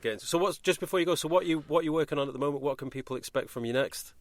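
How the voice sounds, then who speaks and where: A man's voice: a little high, very fast, no echo. A British man hosting a podcast, chatting casually in an earnest, enthusiastic way. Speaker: podcast host. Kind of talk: casual interview chat.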